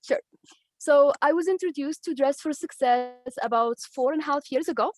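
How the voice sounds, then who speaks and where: Moroccan-accented woman, high voice, dry close sound, earnest storyteller. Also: moderate pace, no room sound. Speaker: ambassador for a women's nonprofit. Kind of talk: personal story at an online meeting.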